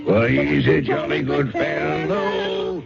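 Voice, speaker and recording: A man's voice: on the high side, wildly swooping pitch, slow pace, gravelly, over loud music, heavily acted. An actor playing a bitter, boozy, washed-up stage magician and father, mocking and grandiose, in an old radio drama. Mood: sarcastic, resentful. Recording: noisy muffled old radio broadcast.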